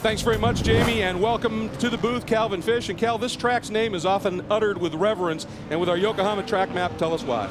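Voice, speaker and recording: A man's voice: high voice, steady tone, brisk, hardly any pauses, over loud music. A man hosting a live motor race broadcast as play-by-play announcer, with a polished, upbeat delivery from the booth.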